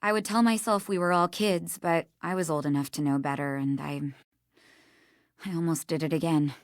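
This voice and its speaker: A woman's voice: low voice, steady tone, moderate pace, no echo, clean studio sound, natural voice. A woman playing a sincere, remorseful young woman in a video game, speaking in an earnest, conversational way.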